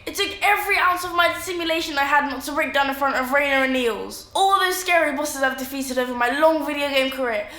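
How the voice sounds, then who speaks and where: A woman's voice: sits high, sharp tone. A woman playing a frightened, dramatic young gamer boy who stammers, in an audio drama. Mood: frightened, ashamed. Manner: stammering, halting. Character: terrified, dramatic young gamer boy, self-confessed coward. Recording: echoey small room.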